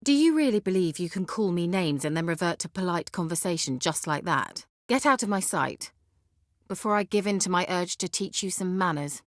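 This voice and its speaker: A woman's voice: lowish voice, expressive, normal speed, no echo, studio quality, lightly acted. A woman playing a haughty, sly sorceress in a fantasy video game, articulate, wry and teasing. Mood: angry, cutting.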